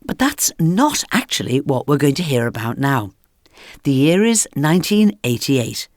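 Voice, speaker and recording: A woman presenting a radio programme, reading scripted links that introduce interviews in a measured narrating style. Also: extremely low pitch, sing-song, dry recording, studio quality. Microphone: close studio microphone, dry.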